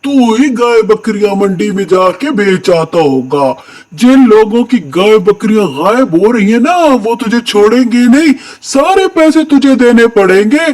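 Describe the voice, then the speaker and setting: A man's voice: high-pitched, animated, measured pace, a little mellow. A man playing a blustering, accusing village man in a children's cartoon story, emphatic and scolding.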